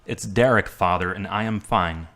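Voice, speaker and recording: A man's voice: low-pitched, moderate pace, a bit bright. A man acting a wounded young man in a hospital bed, curt and argumentative, coughing between lines. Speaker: lead actor. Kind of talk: amateur radio drama acting.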